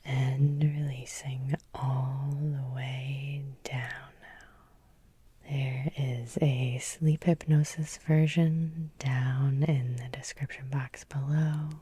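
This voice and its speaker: A woman leading an ASMR guided hypnosis in a breathy whisper, soothing and repetitive, with lingering pauses. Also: a bass voice, flat delivery, dark tone, no room sound. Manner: slow, soothing, repeating phrases with lingering pauses. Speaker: hypnotherapist and Reiki healer leading a guided meditation.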